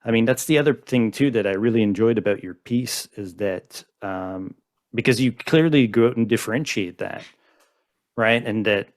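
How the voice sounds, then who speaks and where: A man in his forties, originally from Montreal, hosts a relaxed podcast chat. His voice sits somewhat low, and he works through his thoughts in long, winding sentences that keep restarting. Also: normal speed. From Montreal, Canada. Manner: rambling, many "I mean" restarts. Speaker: podcast host. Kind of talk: casual podcast conversation.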